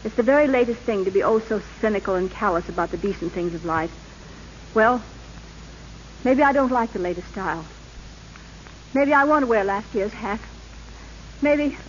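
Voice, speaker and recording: A woman's voice: mid-range voice, normal speed, pauses often, close to an everyday voice. An actress playing a wistful, sincere young woman in an old radio drama, with earnest theatrical delivery on a muffled, hissy recording. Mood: resolute, hurt. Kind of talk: 1930s-40s radio drama acting.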